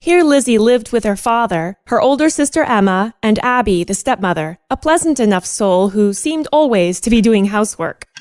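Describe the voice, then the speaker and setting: Young Victorian lady narrator played by a woman, high and dry-recorded, measured formal storytelling for radio drama. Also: expressive, darkish tone, no room sound, lightly acted. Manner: measured, formal literary delivery. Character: genteel young female cousin recounting detective tales. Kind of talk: scripted first-person radio play narration.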